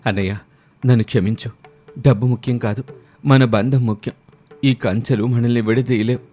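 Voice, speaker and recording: A man's voice: mid-range voice, fairly even intonation, normal speed, dark tone, close to an everyday voice. A man playing a repentant younger brother in a village storybook tale. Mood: remorseful. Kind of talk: storybook dialogue.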